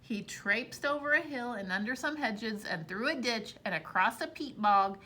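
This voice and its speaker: Bright-voiced woman reading to children. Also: on the high side. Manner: animated, pausing to explain to young listeners. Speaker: teacher reading aloud. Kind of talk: expressive storybook read-aloud for children.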